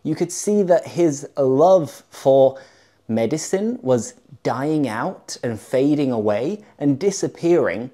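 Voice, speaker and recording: A young English teacher from Leeds talks to his viewers in a chatty, upbeat way. He leans hard on key words. His voice is warm and mellow. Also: mid-range voice, lively intonation, slow pace. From Leeds, England. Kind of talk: YouTube English lesson to camera.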